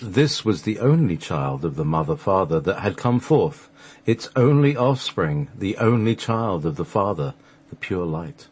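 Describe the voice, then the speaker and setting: A man reading scripted radio play narration in measured, solemn phrases, with a husky voice. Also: deep voice, sing-song, darkish tone. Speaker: radio show host and narrator.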